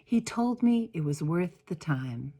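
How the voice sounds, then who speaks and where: A woman reads a storybook aloud in a low voice. She takes it very slowly, with deliberate pauses and expressive phrasing, as a minister might when telling a story to all ages. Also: husky. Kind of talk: storybook read-aloud, first-person narration.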